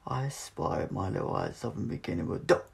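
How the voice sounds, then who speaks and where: A man's voice: deep voice, wildly swooping pitch, quick pace, vocal fry, heavily acted. A man playing a brainy, eccentric male adventurer in an audiobook, exclaiming with enthusiasm. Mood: excited realisation.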